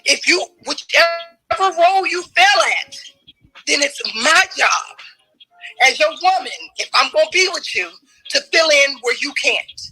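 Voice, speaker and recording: A woman's voice: high voice, lively intonation, a little slow, soft background music, low-quality recording. A woman arguing in a heated debate, emphatic and challenging, and losing her voice. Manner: forceful, emphatic, challenging questions.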